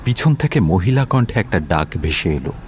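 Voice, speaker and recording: A man's voice: fairly low pitch, big pitch swings, a little fast, darkish tone, a little hiss or hum. A man narrating a suspense story in a dramatic, scripted style. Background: music.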